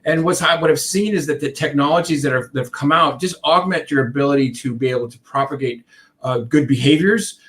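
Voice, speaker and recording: A man's voice: a little high, a little fast, fairly bright tone. A man in his fifties from Iceland, a contact centre consultant answering interview questions in a rambling way, full of restarts and fillers.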